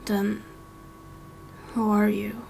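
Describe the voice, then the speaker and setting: A woman voicing a cold, embittered father in a game roleplay, speaking in a curt, flat, hushed way. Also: mid-range voice, extremely slow, creaky, slight background noise, voice pushed down, exaggerated voice, poor audio. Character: cold, withdrawn, embittered father shutting out his son. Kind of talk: amateur game roleplay acting.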